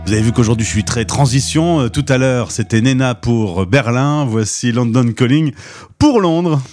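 A man's voice: mid-range voice, normal speed, a little mellow. A man hosting a radio show, chatty and upbeat, linking songs and interviewing a guest. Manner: upbeat, chatty presenter with playful links. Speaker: radio host.

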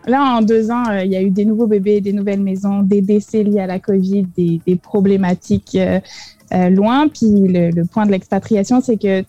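A woman's voice: medium pitch, fairly even intonation, moderate pace, warm tone, highs cut off, soft background music. A young woman from France with a French accent tinged by Quebec, answering interview questions, hesitant, with many fillers and restarts. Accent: French with Quebec influence. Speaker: guest.

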